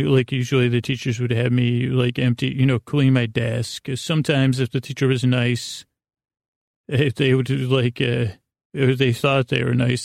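A man hosting a sleep podcast in a creaky, lulling voice, rambling along in a meandering, halting bedtime monologue that often interrupts itself. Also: mid-range voice, darkish tone, no room sound, clean studio sound. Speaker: podcast host.

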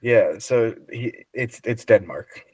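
A man co-hosting a podcast as quizmaster, explaining answers casually in a measured way. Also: mid-range voice, darkish tone, husky, dry recording.

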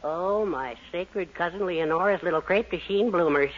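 A man's voice: high voice, moderate pace, lightly acted. A man playing a boastful, henpecked husband in a 1940s radio situation comedy. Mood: flustered. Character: boastful, henpecked husband, sheepishly sweet to his wife. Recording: noisy lo-fi 1940s radio recording.

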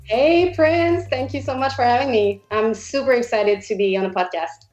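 Québécois woman guest, 30s, halting second-language English, dull lo-fi line. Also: fairly high pitch, a little mellow, faint music behind. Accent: Québécois French. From Quebec, Canada. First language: French. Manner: halting, searches for words. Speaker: guest photographer. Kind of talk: podcast interview.

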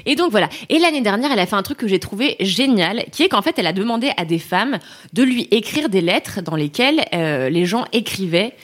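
A woman's voice: medium pitch, expressive, brisk. A woman co-hosts a podcast in easygoing, chatty conversation. She sounds enthusiastic and laughs easily. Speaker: podcast co-host. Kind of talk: casual chat.

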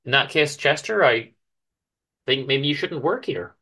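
A man's voice: mid-range voice, expressive, unhurried, bright, forceful tone, heavily acted. A man voicing a reproachful, exasperated office manager who stammers officiously, in a dramatic story reading. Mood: shocked, reproving.

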